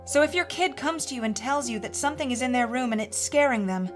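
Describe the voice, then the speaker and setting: A woman playing a haunted mother in her forties, telling a first-person horror story in a measured, earnest, confiding way. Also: high-pitched, expressive. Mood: sober, confiding. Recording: music bed under the voice.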